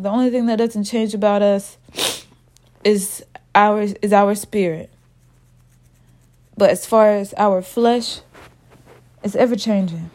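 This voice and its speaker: Casting: woman podcast host, slow unhurried delivery, slightly sniffly from a cold, candid and informal. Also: mid-range voice, a little mellow. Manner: candid, informal, talks straight to listeners as y'all. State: a little sick, sniffly. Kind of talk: spiritual podcast monologue.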